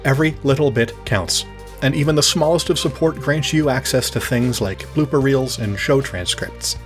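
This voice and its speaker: A man's voice: medium pitch, moderate pace, soft background music. A man presenting a scripted promotional appeal for listener support of a show.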